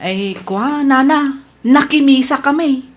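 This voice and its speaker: An actress as a meddlesome gossip in a comic radio drama. The voice sits very high and whines. She sounds flustered and defensive, with many exclamations. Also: slow pace. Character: whining, meddlesome gossip, a grown daughter. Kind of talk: Ilocano radio comedy drama acting.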